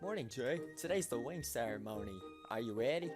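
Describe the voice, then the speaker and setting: A man's voice: mid-range voice, animated, brisk, lightly acted. A man voicing a friendly, boastful male knight student who rides birds in a video game. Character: chatty, self-assured male bird-riding knight student. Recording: loud game music behind.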